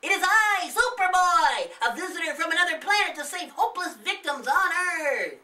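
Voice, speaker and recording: Eager, high-pitched little boy. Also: lively intonation, normal speed, bright, forceful tone, far from the mic, exaggerated voice. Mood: proud, excited. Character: eager, boastful little boy playing superhero. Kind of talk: children's storybook character voice.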